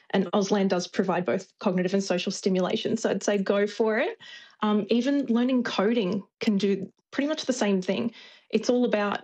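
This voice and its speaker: A woman's voice: medium pitch, a little mellow. A woman who is a cognitive neuroscientist, giving encouraging, explanatory expert comment as a radio guest.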